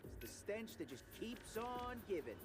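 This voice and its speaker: An actor voicing a hot-headed, wisecracking ninja turtle with a blunt, sarcastic manner. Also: male voice, sits high, sing-song, measured pace, over music. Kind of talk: video game cutscene acting.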